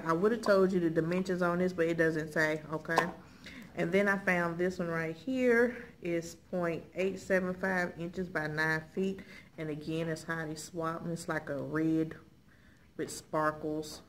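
A middle-aged American woman with a lowish voice chats casually to her viewers. Her manner is folksy and conversational, with frequent little check-ins. There is clear background noise in the indoor recording. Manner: folksy, conversational, frequent check-in tags like okay and all right. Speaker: haul video host. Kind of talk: casual chat showing purchases to viewers. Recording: clearly noisy room recording.

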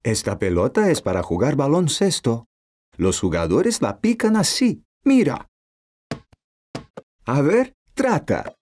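Low-pitched, encouraging dad. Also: female voice, wildly swooping pitch, takes it slowly, long pauses, darkish tone, heavily acted. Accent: Latin American Spanish. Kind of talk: children's cartoon dub. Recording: music behind the voice.

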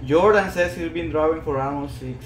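A man's voice: a little high, fairly quick, a little hiss or hum. Young man bantering in a roomy space. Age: young adult. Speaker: livestream co-host, comic creator. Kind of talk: casual bantering livestream chat. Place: indoor room, livestream at desk. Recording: roomy echo, faint music behind. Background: faint music.

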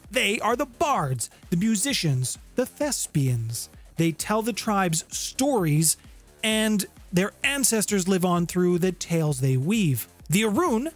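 Theatrical male explainer over background music. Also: high-pitched. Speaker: host of a tabletop gaming channel. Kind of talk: scripted explainer to camera. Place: small home room with guitars on the walls. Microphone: close studio condenser mic with pop filter.

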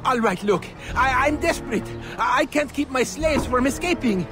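An actor playing a cowardly middle-aged colonial merchant in a video game, pleading and stammering in a frantic way. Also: male voice, very high-pitched, expressive, normal speed, over music. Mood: desperate, pleading. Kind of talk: scripted video game acting.

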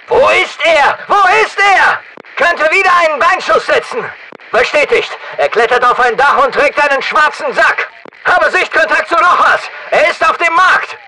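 Terse gravelly soldier on radio. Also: male voice, very high-pitched, background noise. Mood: alert, focused. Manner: clipped, commanding. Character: masked male special forces soldier, terse and battle-hardened. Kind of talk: military radio callouts in a shooter game dub.

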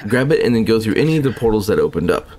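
A man's voice: on the low side, very animated, very fast, darkish tone. A man reading a scripted video game walkthrough in a plain, instructional way. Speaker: gaming guide narrator. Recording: faint game ambience behind voice-over.

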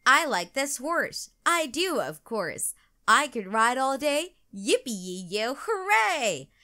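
An excitable, plucky little girl from a children's storybook, acted out by a woman reader. She exclaims with enthusiasm in a bright voice that jumps up high and swings around. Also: slow pace, exaggerated voice. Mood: excited.

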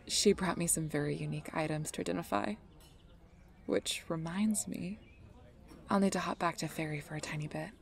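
Casting: a woman as a possessive fey girlfriend for ASMR roleplay, intimate and breathy, lowish pitch with heavy vocal fry. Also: moderate pace. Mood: chatty, easy. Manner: intimate, doting, often breathy whispering. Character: obsessive, sweet-talking fey woman posing as a devoted lover. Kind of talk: ASMR roleplay, close personal attention.

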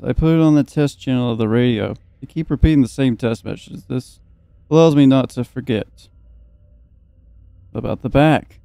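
A man's voice: medium pitch, gravelly, warm tone, clear, resonant tone, no echo. A young man giving casual let's play commentary, joking and swearing and at times reading game text aloud. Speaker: gaming video host. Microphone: close, dry microphone.

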